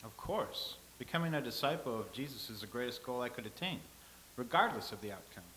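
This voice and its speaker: A man's voice: mid-range voice, expressive, fairly quick, bright, forceful tone. Humble devout man, read by an actor, hissy recording. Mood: devout, hopeful. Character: humble earnest man from Nazareth, devout follower of Christ. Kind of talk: staged play reading. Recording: hissy, clearly noisy recording.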